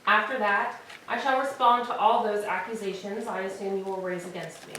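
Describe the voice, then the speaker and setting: A woman playing a beautiful, defiant captive queen in a staged tragedy reading, arguing in measured, formal speech. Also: mid-range voice, fairly even intonation, sharp tone, close to an everyday voice. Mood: composed, defiant. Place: church, live staged reading. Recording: echoey church, distant mic, faint noise.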